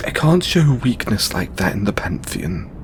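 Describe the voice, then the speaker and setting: A man playing a stressed, tender god confiding in a lover in an intimate audio roleplay, speaking haltingly with stammers and sighs. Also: big pitch swings. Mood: embarrassed, defensive. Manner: hesitant, halting, stammering and sighing. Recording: background noise with low rumble.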